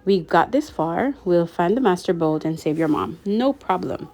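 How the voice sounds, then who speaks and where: A woman's voice: lowish voice, lively intonation, normal speed, dark tone. A woman voicing a nervous, hesitant but eager young male sidekick in an audiobook story. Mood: forced cheer.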